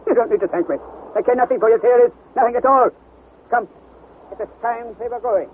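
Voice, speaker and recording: An actor playing an eccentric, stubborn older professor in an old radio drama, bossy and given to emphatic repetition. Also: male voice, extremely high pitch, brisk, narrow, tinny audio. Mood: dismissive, brisk. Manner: imperious, repeats himself emphatically. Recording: thin, noisy vintage radio recording.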